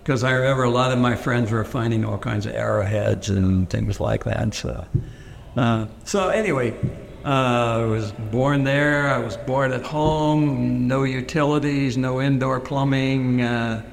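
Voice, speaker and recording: A gravelly-voiced man from Pennsylvania, raised speaking Pennsylvania Dutch, answers questions about his life in an oral history interview. He hesitates a lot, filling pauses and restarting sentences. The recording carries a long reverb and a faint hiss. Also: mid-range voice. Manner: hesitant, many fillers and restarts.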